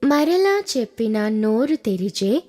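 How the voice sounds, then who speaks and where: A woman reads a children's animated moral story. Her voice is high and expressive, and she moves slowly through short, simple phrases. Music plays behind her. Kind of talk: scripted children's story narration.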